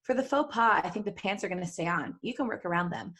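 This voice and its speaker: A woman's voice: medium pitch, quick pace, gravelly, fairly bright tone, breathy edge. A woman reading the part of a flirtatious, bold witch from a fan story in a frank, teasing manner. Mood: bold, flirty.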